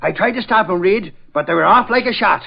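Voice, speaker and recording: Excitable high-voiced Irish sidekick. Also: male voice, fairly quick, gravelly, heavily acted. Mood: alarmed, excited. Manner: excitable, folksy, repeats himself for emphasis. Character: excitable, bumbling Irish newspaperman sidekick, eager and boastful. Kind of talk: 1940s radio acting. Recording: muffled vintage radio recording.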